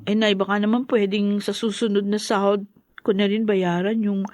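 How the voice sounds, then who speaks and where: A woman's voice: mid-range voice, flat delivery, moderate pace, darkish tone, no room sound, studio quality, natural voice. An actress in a Tagalog radio drama playing a meek, long-suffering young mother with an earnest, hesitant manner. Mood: timid, pleading.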